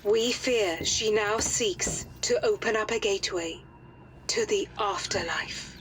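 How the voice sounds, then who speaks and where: A woman's voice: mid-range voice, slow, noisy background, close to an everyday voice. An actress playing a composed aristocratic lady who briefs adventurers in a formal, earnest way. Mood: urgent, grave. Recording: music behind.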